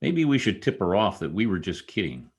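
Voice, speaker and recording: A man's voice: sits low, big pitch swings, fairly quick, vocal fry, slightly rough, full character voice. A man playing a wry, easygoing newspaper reporter in a radio comedy, with a joking delivery. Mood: wry, doubtful. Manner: wry, joking, hesitant asides. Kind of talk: old-time radio comedy acting. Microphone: video call.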